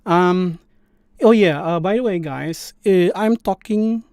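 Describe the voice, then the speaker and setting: Indonesian guy hosting a coding stream, kinda high voice, lots of ums and restarts. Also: darkish tone, smooth, dry recording, clean studio sound. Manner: halting, many fillers and restarts. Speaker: live stream host. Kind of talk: casual coding stream chat.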